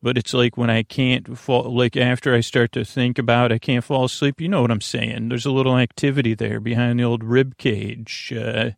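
Creaky-voiced man rambling softly to lull listeners. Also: medium pitch, level tone, dry recording, studio quality. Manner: lulling, meandering, hesitant, halting delivery. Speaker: podcast host. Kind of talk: rambling bedtime sleep podcast monologue.

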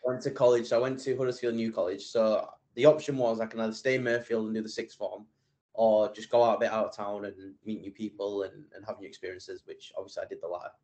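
A man's voice: fairly low pitch, level tone, fast talker, darkish tone. A man, a podcast guest chatting casually and rambling with plenty of fillers and restarts. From England. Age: 20s. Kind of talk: casual interview chat.

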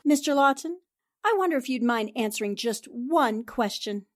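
An actress playing a sharp, inquisitive newspaper reporter asking pointed questions in 1940s-style radio drama. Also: female voice, fairly high pitch, expressive, moderate pace, no echo, studio quality, close to an everyday voice.

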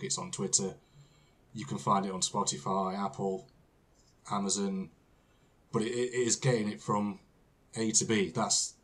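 A British man with a low, husky voice hosts a casual podcast chat. He talks hesitantly and often repeats his words as he searches for them. He laughs easily between questions. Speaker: podcast host.

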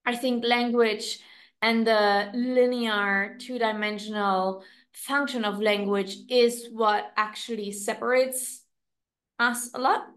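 German-accented woman, halting reflective talk. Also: on the high side, steady tone, a bit bright. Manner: halting, searching for words, many restarts. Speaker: podcast co-host. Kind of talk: casual reflective chat.

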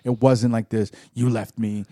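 Animated man chatting on a podcast. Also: fairly low pitch, unhurried, no echo, studio quality. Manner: animated, repeats and restarts words, says "right?" often. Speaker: podcast co-host. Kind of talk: casual chat about a TV episode.

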